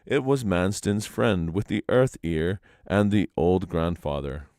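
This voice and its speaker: A man's voice: deep voice, a little slow, darkish tone, no room sound, studio quality. A man narrating a traditional legend aloud as a storyteller, in a live reading. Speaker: storyteller and reader.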